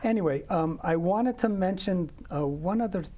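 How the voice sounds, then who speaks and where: Male host, mellow tone, easy conversational questions, studio chat. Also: high-pitched, moderate pace. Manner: warm, conversational questions with hesitations. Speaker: festival director and interview host. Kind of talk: studio interview.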